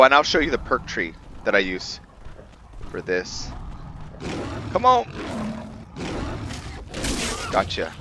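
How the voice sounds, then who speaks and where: A man narrates his video game playthrough in a casual, thinking-aloud way. His voice is on the low side with a forceful, bright edge, and he speaks slowly with halting pauses. The recording sounds a little muffled and lo-fi. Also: slight background noise. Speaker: gaming channel host narrating a playthrough. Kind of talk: casual let's play commentary of a modded fantasy RPG.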